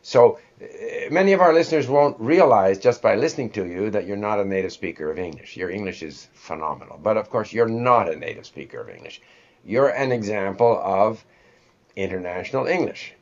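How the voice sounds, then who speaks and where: A man's voice: fairly low pitch, lively intonation, fairly quick. A man with a Canadian accent hosting a conversational interview, thinking aloud in a discursive way. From born in Sweden, raised in Canada. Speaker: host interviewing a guest. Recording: dull, lo-fi audio.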